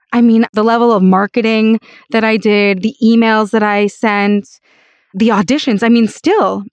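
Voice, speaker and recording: An American woman with a raspy voice, telling personal stories emphatically and conversationally as an interview guest. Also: mid-range voice, no room sound, muffled recording. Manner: emphatic, peppers talk with "like" and "you know". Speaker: interview guest, actor and voice-over artist.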